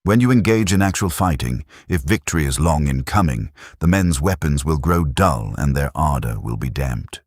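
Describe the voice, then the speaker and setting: A man reading a classic text as a scripted audiobook, in a measured, deliberate way. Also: extremely low pitch, animated, no room sound, studio quality. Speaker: audiobook narrator.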